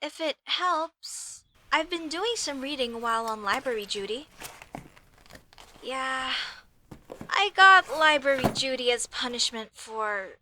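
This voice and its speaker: A woman's voice: sits high, animated, slow, sharp tone, slight background noise, heavily acted. A woman voicing an earnest, apologetic young elf mage student in a comedy audio roleplay, talking in a hesitant, chatty way. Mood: explaining, sheepish. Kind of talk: comedy audio roleplay, speaking softly to the listener.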